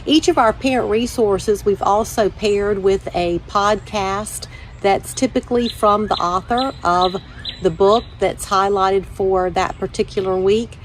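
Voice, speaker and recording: A woman hosting a children's story time, reading aloud with measured, deliberate phrasing. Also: mid-range voice, steady tone, a little hiss or hum. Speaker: church children's ministry director, story time host.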